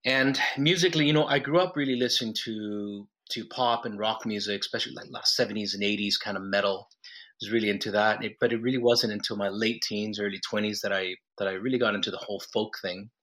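A lower-pitched man from Mexico with a bright, forward tone talks through a phone line as a guest musician. He rambles easily, restarting sentences as he explains. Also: quick pace. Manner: relaxed, rambling with restarts and laughs. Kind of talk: phone interview.

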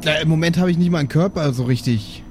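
A man's voice: on the high side, fast, a little hiss or hum, natural voice. A man playing an exasperated, grumbling superhero in improvised role-play. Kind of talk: improvised German audio drama role-play.

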